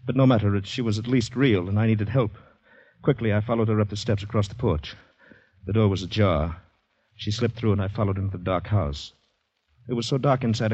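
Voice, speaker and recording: An actor playing a panicky, polite, shell-shocked Canadian man who narrates his ordeal intensely and haltingly in a 1950s radio drama. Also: on the low side, darkish tone, husky, dry recording. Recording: dull vintage radio recording, music behind.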